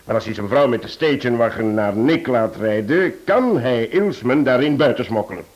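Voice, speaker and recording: Man acting a genial detective, radio play, measured explanatory delivery, medium pitch. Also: expressive, darkish tone, dull audio, close to an everyday voice. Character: genial male detective explaining a solved smuggling case.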